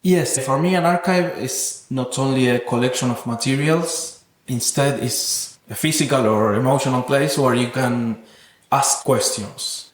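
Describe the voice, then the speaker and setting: Male interview guest, reflective and philosophical artist type, average pitch, noticeable room echo. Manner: thoughtful, earnest, philosophical. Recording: roomy echoing sound.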